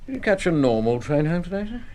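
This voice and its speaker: An actor playing a polite, insistent British police detective sergeant in a radio drama, speaking with formal, measured, hesitant courtesy. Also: male voice, a little high, sing-song, darkish tone. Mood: methodical questioning.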